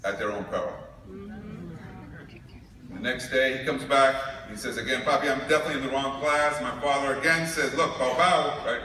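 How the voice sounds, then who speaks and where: A man's voice: medium pitch, normal speed, bright, forceful tone. Man giving a keynote in an echoey hall. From New York. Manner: storytelling with humor. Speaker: keynote speaker. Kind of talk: conference keynote speech. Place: large conference hall. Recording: echoey hall recorded from far off, noisy.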